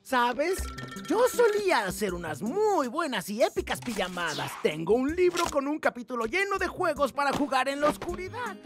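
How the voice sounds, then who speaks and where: A man's voice: extremely high pitch, big pitch swings, normal speed, music in the background. A man voicing a cheerful, doting, easygoing dad in a children's cartoon, upbeat and chatty. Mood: hopeful. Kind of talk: animated children's cartoon dub.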